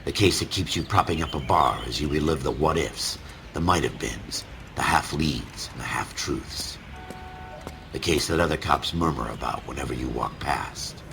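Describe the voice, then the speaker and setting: An actor playing a world-weary, hard-boiled narrator in a noir video game, with a brooding, deliberate, gravelly delivery. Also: male voice, rumbling low, music in the background. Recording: clear background ambient noise.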